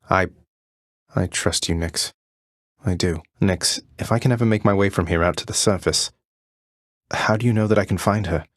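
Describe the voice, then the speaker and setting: A low, closely recorded male voice with very little room around it. He is a young underworld prince from a video game, rebellious yet earnest. He speaks in a polite, understated, conversational way edged with dry wit. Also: no room sound. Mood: earnest, searching. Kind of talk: video game character voice lines.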